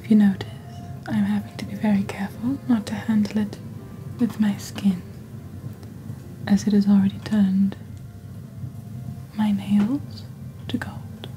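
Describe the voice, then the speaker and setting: A young woman speaking softly and breathily in a scripted ASMR roleplay, slow, deliberate and eerily formal, as the host of a shopping channel. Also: mid-range voice, flat delivery, noisy background. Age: young adult. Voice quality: soft, breathy, whispery. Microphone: close studio microphone on desk.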